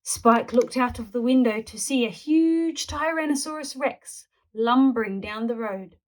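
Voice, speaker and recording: A woman storyteller reading a children's picture book aloud in an animated narrating style. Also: a little high, a little slow, slight background noise.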